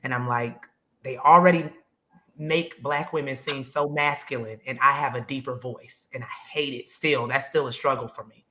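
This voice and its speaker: A woman with a deep voice, co-hosting a casual podcast chat, speaking frankly and reflectively. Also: steady tone, normal speed, fairly bright tone. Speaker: podcast co-host.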